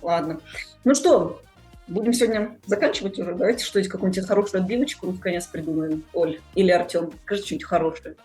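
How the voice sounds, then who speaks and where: A woman's voice: on the low side, fast talker, dark tone. Woman podcast host over music. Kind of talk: casual podcast discussion. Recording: music in the background.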